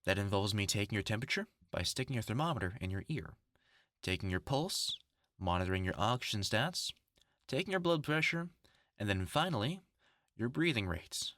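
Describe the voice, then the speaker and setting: A man's voice: fairly low pitch, lively intonation, a little fast, fairly bright tone, dry recording. A man playing a vengeful test subject turned mock doctor in a horror audio roleplay, commanding, sardonic and mock-clinical. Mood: mock-clinical menace.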